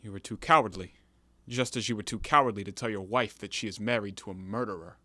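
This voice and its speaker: A man's voice: lowish voice, quick pace, bright, forceful tone, dry recording. A man in an audio play voicing a sly, defiant, cornered ex-convict in terse, formal stage diction. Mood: taunting.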